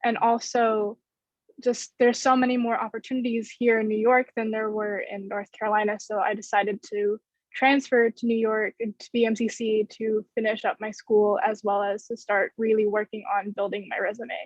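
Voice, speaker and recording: A woman in her twenties with an American accent, giving measured, explanatory answers in a panel discussion. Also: fairly high pitch, level tone, no room sound. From United States. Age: 20s. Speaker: theater student. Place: bedroom, indoors. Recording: muffled video-call audio.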